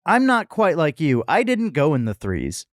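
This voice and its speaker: A man's voice: on the high side, huge pitch swings, normal speed, no room sound, studio quality. A man co-hosting a podcast in casual, playful, jokey review banter. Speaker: podcast co-host.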